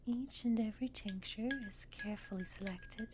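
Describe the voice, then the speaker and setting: A woman's voice: mid-range voice, moderate pace, noisy background, natural voice. Woman playing a whispering, devoted Regency lady's maid. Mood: reverent, attentive. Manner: hushed, formal, deferential. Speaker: ASMR performer. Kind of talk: whispered ASMR roleplay, scripted period narration.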